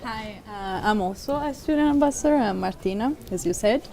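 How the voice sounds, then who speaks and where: Brief: twenties female student from Italy, brisk and friendly, noticeable hall background noise. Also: medium pitch, expressive, darkish tone. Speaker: student ambassador. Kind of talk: panel chat. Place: large library hall indoors.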